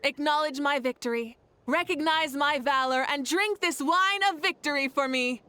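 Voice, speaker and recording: A woman's voice: high voice, normal speed, pushed and bright, no room sound. A woman voicing a confident, regal female ruler in a video game, speaking in a commanding way. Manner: commanding, laughs easily. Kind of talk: game voice acting.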